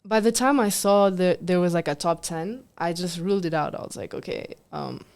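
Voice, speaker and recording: A woman's voice: lowish voice, moderate pace, clear, resonant tone. A young woman from Kenya, a singer, chatting casually as an interview guest and laughing often between her words. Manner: relaxed, laughs often, fills with "like" and "um".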